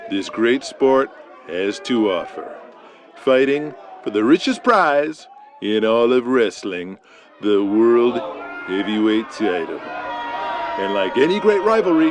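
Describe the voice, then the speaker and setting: An actor playing an arrogant, flamboyant 1980s wrestling champion, boasting and sneering in a showman's drawn-out promo delivery. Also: mid-range voice. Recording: loud noisy studio crowd behind.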